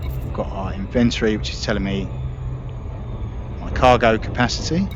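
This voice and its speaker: A man explaining a space game step by step as he plays, in a relaxed walkthrough with small restarts. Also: lowish voice, normal speed, background noise. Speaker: gaming video creator. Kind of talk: explaining gameplay while playing. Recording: dull lo-fi audio with game music behind. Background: game music.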